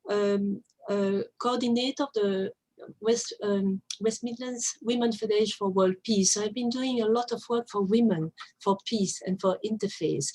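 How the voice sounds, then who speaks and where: A middle-aged woman speaks with a Belgian French accent in a slightly rough, breathy voice of medium pitch. She is a native French speaker with non-native phrasing, giving an earnest personal account at an online event. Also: darkish tone, low-quality recording. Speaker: coordinator of a women's peace group.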